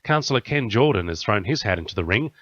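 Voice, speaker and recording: A man's voice: fairly low pitch, expressive, fast talker, a bit bright, no echo, dull audio. An Australian man reading a scripted radio news bulletin as the newsreader. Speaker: news reader.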